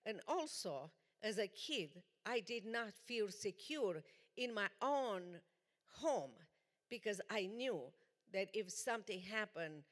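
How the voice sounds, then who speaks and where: A woman from Romania with a Romanian accent, giving an earnest, deliberate personal testimony as a guest speaker in a church. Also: mid-range voice, fairly bright tone. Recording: long church hall reverb.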